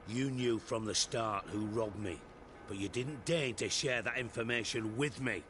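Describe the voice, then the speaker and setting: An actor playing a proud, menacing spymaster in a video game, with a wordy, theatrical, sarcastic manner. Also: male voice, medium pitch, measured pace, over music, lightly acted. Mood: indignant. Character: sardonic, menacing male spymaster, cultured and proud.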